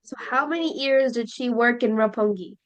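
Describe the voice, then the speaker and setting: A woman teacher leading a listening lesson, explaining slowly and checking in with her students. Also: a little high. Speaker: English teacher.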